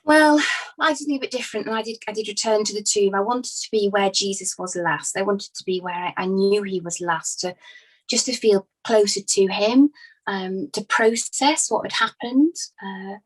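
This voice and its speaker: A woman's voice: mid-range voice, moderate pace, slightly rough, close to an everyday voice, rough recording. A woman playing Mary Magdalene, a devoted grieving follower of Jesus, giving hesitant, earnest, reflective testimony in a conversational inquest drama. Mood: sorrowful.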